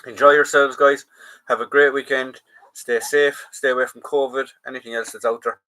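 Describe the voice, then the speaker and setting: A man's voice: medium pitch, steady tone, moderate pace, pushed and bright, rough recording. An Irish man hosting a live chat, casually reading out and answering viewer comments, trailing off and restarting.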